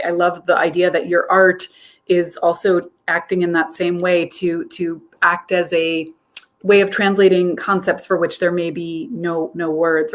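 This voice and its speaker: A woman curator introducing an artist and asking her questions in a museum talk, encouraging and friendly. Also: fairly low pitch. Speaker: curator and interviewer.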